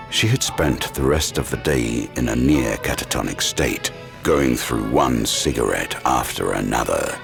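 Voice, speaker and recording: Ominous storyteller: rock-bottom bass, gravelly, measured and brooding, scored with music. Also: male voice, heavily acted. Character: grave, ominous male storyteller of horror tale. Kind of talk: scripted dramatic narration.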